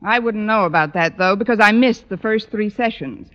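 An American actress playing a wry, put-upon high school English teacher in a 1940s radio sitcom, with a dry, sardonic delivery. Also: female voice, normal speed, slight background noise, close to an everyday voice. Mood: wry narration. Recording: dull old-time radio recording.

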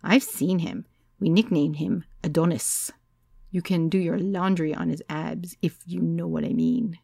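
A woman doing a sly, soft-spoken young woman, low and a bit gravelly. She keeps her voice quiet and knowing, recorded dry and close. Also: fairly even intonation, a little slow, no echo, full character voice.

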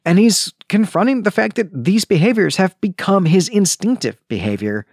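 A man hosting a podcast, giving book commentary in an emphatic way, repeating phrases deliberately for stress. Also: high-pitched, animated, husky, dry recording, studio quality. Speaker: podcast host.

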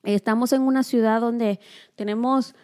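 A woman's voice: fairly high pitch, fairly even intonation, normal speed, a little mellow. Encouraging woman co-host. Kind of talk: casual conversation.

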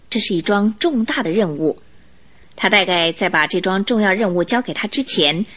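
Bright, animated woman narrating a radio drama. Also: mid-range voice. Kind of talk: expressive scripted storytelling narration.

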